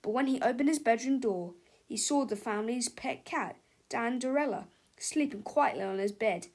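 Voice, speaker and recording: Woman reading a kids book out loud, voice a bit on the high side. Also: moderate pace. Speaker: read-aloud narrator. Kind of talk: scripted children's book narration.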